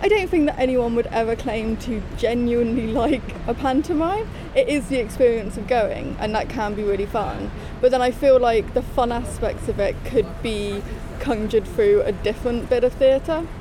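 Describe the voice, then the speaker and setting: A woman chatting informally as a panellist, recorded outdoors with clear street noise behind her. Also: fairly high pitch, normal speed. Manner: informal, frequent "like". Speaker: theatre critic and panellist. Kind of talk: casual chat. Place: outdoors outside a street cafe. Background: traffic and urban ambience.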